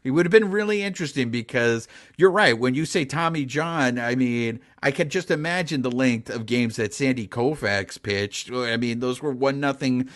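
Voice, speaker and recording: A man's voice: mid-range voice, moderate pace, hardly any pauses. A man hosting a podcast, asking a guest casual questions in an upbeat, friendly way. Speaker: podcast host.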